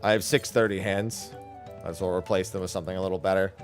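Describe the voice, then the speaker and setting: A man's voice: deep voice, steady tone, fast talker, soft background music. A man talking casually as he streams a video game, commenting on his play. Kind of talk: casual gaming stream chat, reading dialogue aloud.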